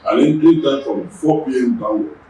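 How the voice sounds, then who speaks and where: A Nigerian man whose first language is Yoruba speaks in a deliberate official tone at a middling pitch, repeating words as he finds his footing. His voice sits well back from the mic and rings around a very echoey room. A faint background hiss runs under it. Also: warm tone, distant mic. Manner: deliberate, repeats words while gathering thoughts. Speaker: traffic agency general manager. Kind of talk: measured official interview answers.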